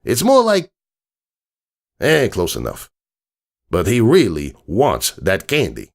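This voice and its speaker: A man playing a gruff, world-weary, streetwise stallion who tells a bedtime story in slangy, grumbling and wistful tones, as a dramatic reading. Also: on the low side, huge pitch swings, takes it slowly, full character voice. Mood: exasperated.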